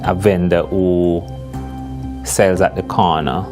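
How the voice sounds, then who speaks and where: An older man giving a formal, halting, measured account in an interview as a security official. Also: sits low, flat delivery, music in the background. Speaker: university security director.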